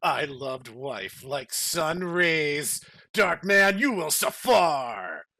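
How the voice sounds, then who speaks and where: A man voicing overwrought action heroes and villains in a melodramatic, halting way for a comic story reading. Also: fairly high pitch, big pitch swings, vocal fry, pushed and bright, no echo, clean studio sound, heavily acted. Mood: grim, defiant. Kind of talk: mock-dramatic comic fan fiction reading.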